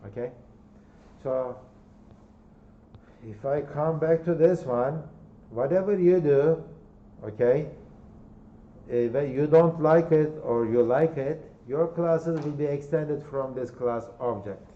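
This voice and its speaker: A man's voice: fairly high pitch, takes it slowly, long pauses. A man with a Turkish accent giving a university lecture, repeating himself and checking in with "okay?" and "right?". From Turkey. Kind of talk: classroom lecture. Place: classroom. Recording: dull, echoey lecture hall recording.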